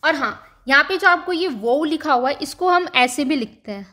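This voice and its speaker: A woman with a high voice teaches a beginners' class, walking through slides one point at a time. She slows down to sound out each example word and drops English terms into her explanations. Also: expressive, pushed and bright. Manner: patient, slows down to spell out example words. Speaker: language teacher. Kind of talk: explaining a language lesson over slides.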